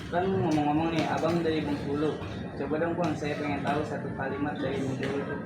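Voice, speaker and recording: A young man chatting casually on a podcast, relaxed with short replies. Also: fairly high pitch, a little fast, roomy sound, recorded from a distance, over loud music, poor audio. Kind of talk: casual chat.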